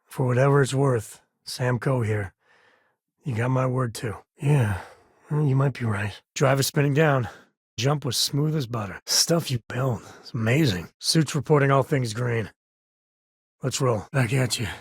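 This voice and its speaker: An actor playing an easygoing, devoted middle-aged space explorer and father in a video game, with a husky voice and a folksy, wry, colloquial way of talking. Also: medium pitch, a little slow, no room sound, clean studio sound. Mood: easy, wry. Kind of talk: video game voice acting, naturalistic dialogue.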